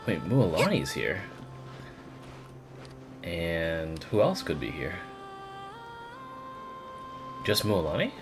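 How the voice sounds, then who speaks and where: A man gives casual live commentary as he streams a game, exclaiming and musing aloud. His voice sits low but moves about a lot, with some music behind it. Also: wildly swooping pitch, slow pace. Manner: exclaims and muses aloud to self.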